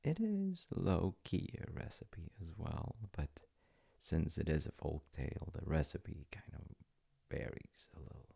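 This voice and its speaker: Very deep, gravelly man, slow storyteller. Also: huge pitch swings. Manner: unhurried, halting, with pauses and soft asides. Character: gentle, playful dad telling his child a folk tale. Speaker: audio roleplay voice actor playing a dad. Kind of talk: intimate comforting roleplay, improvised-sounding bedtime storytelling.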